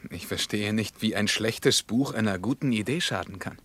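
Lowish-voiced, persistent West German sceptic. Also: male voice, moderate pace, a bit bright, slightly rough. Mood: insistent. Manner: probing, insistent, argumentative. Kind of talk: mid-20th-century German radio play dialogue.

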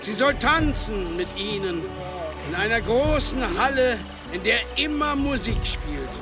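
Slow, high-voiced funeral orator. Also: male voice, big pitch swings, over music, full character voice. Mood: solemn, mourning. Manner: measured, ceremonial. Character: solemn village elder leading a funeral. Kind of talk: German radio drama oratory.

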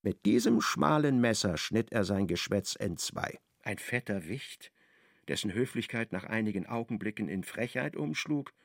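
A man giving measured, ironic literary narration in a radio play. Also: on the low side, lively intonation, creaky, dry recording, studio quality.

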